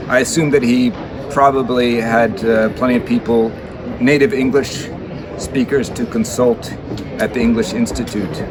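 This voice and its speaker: Hesitant man over street noise. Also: mid-range voice. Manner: hesitant, many fillers. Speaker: bookshop owner, guest reader. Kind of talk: casual spoken introduction.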